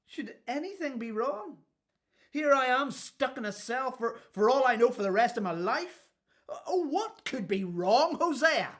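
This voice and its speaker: Weary, swinging-voiced imprisoned prophet. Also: male voice, very high-pitched, big pitch swings, unhurried, a bit bright, heavily acted. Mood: bitter outburst. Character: imprisoned male prophet, weary, doubting, testy then apologetic. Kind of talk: radio play acting.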